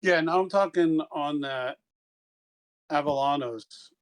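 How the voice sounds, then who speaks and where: Brief: tabletop player, mid-pitched, casual, inquisitive. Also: takes it slowly. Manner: questioning, casual. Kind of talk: casual tabletop game chat.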